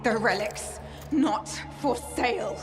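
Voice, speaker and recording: A woman's voice: on the high side, slow pace, over music. A woman playing a frightened but defiant captive agent in a video game, sounding distressed. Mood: fearful defiance. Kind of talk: dramatic video game acting.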